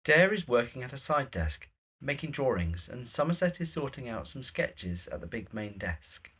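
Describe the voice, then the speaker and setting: Man narrating a drama, slightly husky. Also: medium pitch, very animated, normal speed, a bit bright. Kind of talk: scripted narration of stage directions.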